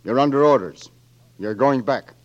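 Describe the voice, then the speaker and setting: An actor playing a brisk, authoritative military officer who speaks matter-of-factly, in 1940s radio acting. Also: male voice, mid-range voice, natural voice. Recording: dull lo-fi old radio recording.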